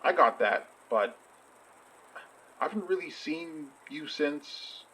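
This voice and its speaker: Awkward guy, lively, husky voice. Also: a little high, slow, heavily acted, poor audio. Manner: hesitant, awkward. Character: awkward, hesitant man meeting an acquaintance. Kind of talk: naturalistic audio drama acting.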